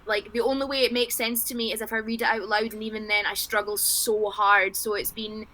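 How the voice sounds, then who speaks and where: A woman's voice: medium pitch, flat delivery, fairly quick, pushed and bright. A woman chatting casually as a livestream guest on a video call, candid, self-deprecating and loosely sweary. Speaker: guest, poet.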